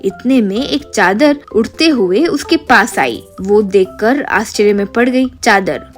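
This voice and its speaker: A woman reading scripted narration for an animated moral story. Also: mid-range voice, measured pace. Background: light music.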